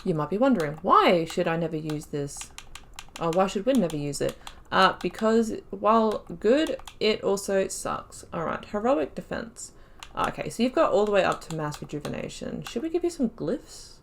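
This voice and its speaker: A woman giving casual commentary while playing a game, thinking aloud and breaking into excited exclamations. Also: mid-range voice, moderate pace, vocal fry, slight background noise. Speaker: let's play host.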